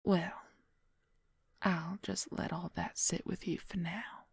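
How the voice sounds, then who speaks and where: A woman's voice: fairly low pitch, fairly even intonation, takes it slowly, no room sound, heavily acted. A woman playing a possessive, wry female werewolf lover in an intimate, confiding whispered ASMR roleplay, close to the microphone. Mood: tender, sultry. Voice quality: breathy, husky whisper. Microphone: close microphone, dry.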